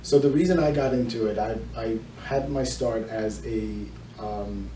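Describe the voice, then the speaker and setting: An echoey, slightly noisy room recording of a man being interviewed. He is middle-aged and has a mellow voice. He explains things at length as a loan officer. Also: mid-range voice, a little slow. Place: indoor room with small audience. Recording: echoey room, distant mic, faint noise.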